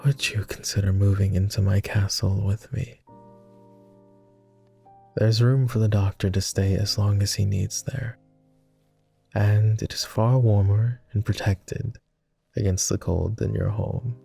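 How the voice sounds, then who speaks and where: A man playing a tender, easily flustered vampire lord with formal phrasing and a soft, gravelly, breathy voice, in an intimate ASMR boyfriend roleplay. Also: on the low side, fairly even intonation, a little slow, long pauses, soft background music, full character voice. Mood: gently inviting. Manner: hesitant, formal old-fashioned phrasing.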